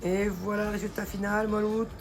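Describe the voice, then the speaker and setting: A woman talks through a recipe in a chatty, familiar way, her voice hoarse and gravelly. She keeps the viewer close with pet names, and music plays behind her. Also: medium pitch, little pitch movement, brisk. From northern France. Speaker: home cook. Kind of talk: casual cooking vlog chat. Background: background music.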